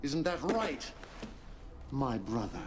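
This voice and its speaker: A man playing a charismatic, menacing cult leader in a video game cutscene, speaking in deliberate, commanding oratory with theatrical pauses. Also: mid-range voice, sing-song, recorded from a distance, background noise. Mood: coolly mocking.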